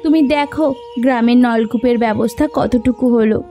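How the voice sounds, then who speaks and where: A woman's voice: on the high side, moderate pace, dark tone, soft background music, close to an everyday voice. A woman voicing a long-suffering, plain-spoken villager in a fairy-tale cartoon. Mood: concerned.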